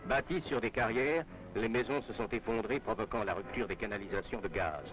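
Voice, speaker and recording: A man's voice: on the low side, a little fast, a bit bright, soft background music, rough recording. A man narrating a scripted 1960s French newsreel in a formal announcer's manner, on a vintage film soundtrack. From France.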